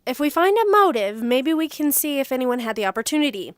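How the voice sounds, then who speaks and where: A woman playing a brisk, businesslike police investigator in an audio drama, questioning and in command. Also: sits high, very animated, dry recording, clean studio sound. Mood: probing.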